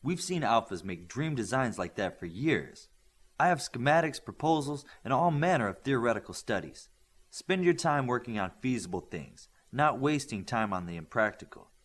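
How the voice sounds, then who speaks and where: Brisk authoritative male coach, read by a male narrator, instructive, lively intonation, audiobook. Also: mid-range voice, close to an everyday voice. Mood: skeptical, stern.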